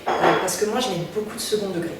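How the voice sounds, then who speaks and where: A French woman, a singer, answering interview questions in a casual, halting way, full of fillers and restarts. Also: mid-range voice. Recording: very echoey room, distant mic, noticeable background noise.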